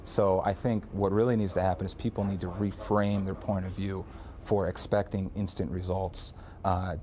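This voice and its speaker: A man's voice: deep voice, a little mellow, slight background noise. A man giving interview answers as a medical researcher.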